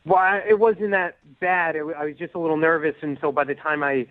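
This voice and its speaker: A man's voice: a little high, fairly even intonation, fast, no room sound. A nervous, hesitant man rambling through a casual chat as a caller to a radio show. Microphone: telephone. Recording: band-limited phone line on radio.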